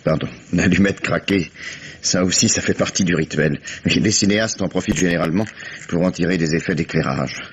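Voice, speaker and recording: An actor playing a calm, shrewd police commissioner who questions a suspect in a measured, probing way, in the manner of a 1950s radio drama. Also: male voice, low-pitched, natural voice. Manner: measured, probing, dry irony. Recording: dull old radio recording with faint hiss.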